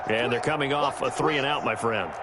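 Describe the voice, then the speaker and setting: A man's voice: mid-range voice, fairly quick, very noisy, natural voice. A man acting as an upbeat football play-by-play announcer with an American accent, making clipped present-tense calls and chatting with a partner. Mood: focused, energetic. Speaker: play-by-play sports commentator. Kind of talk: American football game commentary.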